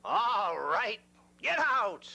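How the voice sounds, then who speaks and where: A man voicing an angry, bossy bridge troll who yells drawn-out threats. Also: high-pitched, sing-song, creaky, voice pushed up, heavily acted.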